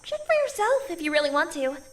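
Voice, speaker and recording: An actress playing a brash, confident operative in a video game cutscene, with a sassy, assertive manner. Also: female voice, very high voice, big pitch swings, fairly quick, slight background noise. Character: brash, confident female operative giving orders. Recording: music behind the voice.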